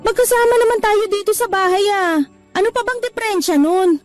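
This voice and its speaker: A woman's voice: extremely high pitch, brisk, faint music behind. A woman playing a curt, weary girlfriend. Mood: irritated. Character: tired, irritable young woman ending her relationship. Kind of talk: radio drama acting.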